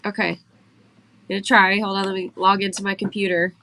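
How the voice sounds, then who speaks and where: A woman's voice: medium pitch, level tone, a little fast, bright, forceful tone, slight background noise. A woman hosting a livestream, stuffy and sniffling from allergies, chatting casually and laughing often as she talks into her phone. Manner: chatty, laughs often, apologetic about tech trouble. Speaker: livestream host. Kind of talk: casual chat. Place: indoor studio.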